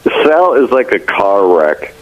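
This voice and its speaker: A muffled phone line carries a man's lively, mid-pitched voice. He is a radio caller talking in a casual style. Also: takes it slowly. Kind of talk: casual chat. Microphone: telephone.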